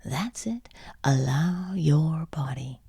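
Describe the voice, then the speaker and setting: A woman guides a sleep meditation in a very breathy, husky half-whisper. She speaks slowly and soothingly, pausing often and offering gentle encouragement. The sound is close and dry. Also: low voice, expressive, no room sound.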